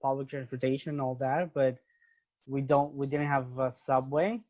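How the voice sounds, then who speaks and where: A man's voice: mid-range voice, fairly even intonation, moderate pace, darkish tone, muffled recording. A young man from Venezuela with a Venezuelan Spanish accent, a guest in a casual interview, who speaks English as a second language and often restarts phrases while searching for words.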